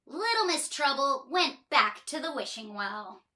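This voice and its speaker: A woman reading a children's story aloud in an expressive way, drawing out words for emphasis. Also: sits high, unhurried.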